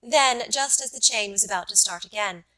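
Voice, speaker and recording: A woman narrating a classic short story as an audiobook reader, with measured literary delivery. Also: medium pitch, sharp tone, rough recording. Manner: measured literary reading, voicing dialogue lightly.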